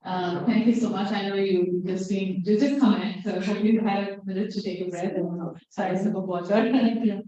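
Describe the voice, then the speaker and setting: A woman's voice: medium pitch, fairly even intonation, moderate pace, mellow. Distant woman introducing a speaker in a hall. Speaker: session moderator. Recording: echoey hall from far off, dull.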